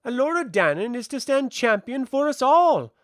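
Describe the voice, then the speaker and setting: Fantasy audiobook role: ageless elemental child, played by a man, high and lilting, serenely superior, archaic formal diction. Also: measured pace, no room sound, clean studio sound. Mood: calm, assured. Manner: infernally calm, formal archaic speech. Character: ancient elemental being in child form, calm and lofty.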